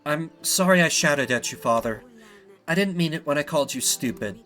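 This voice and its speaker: Video game princess, male performer: eldest royal daughter, loving, sorrowful, hesitant, soft delivery. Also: on the high side, lively intonation, moderate pace, faint music behind. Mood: sad, tender.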